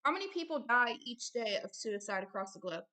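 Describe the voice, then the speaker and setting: High-voiced woman, emphatic religious commentator. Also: lively intonation, brisk, sharp tone. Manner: emphatic, repeats phrases for stress. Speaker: host, self-described prophet reading a book aloud with commentary. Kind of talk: religious commentary mixed with reading aloud.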